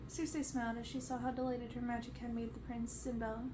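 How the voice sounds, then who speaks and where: Woman, twenties, ASMR novel narrator with a highish voice, in a noisy, echoey bedroom. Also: little pitch movement, a little fast. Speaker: storybook reader. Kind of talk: ASMR bedtime-style reading of a fantasy novel. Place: bedroom, indoors. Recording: roomy echo, noticeable background noise.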